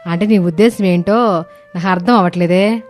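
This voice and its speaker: A woman's voice: on the low side, moderate pace, a little mellow, faint music behind, natural voice. A woman voicing an irritable older mother-in-law in a story, grumbling with a scolding, sarcastic manner. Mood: puzzled, irritated. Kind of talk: animated moral story dialogue.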